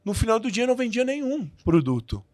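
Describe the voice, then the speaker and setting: A man's voice: very high-pitched, big pitch swings, a little fast, darkish tone, no echo. A man who learned the language as an adult, a podcast guest telling his story in a casual way with many restarts and fillers.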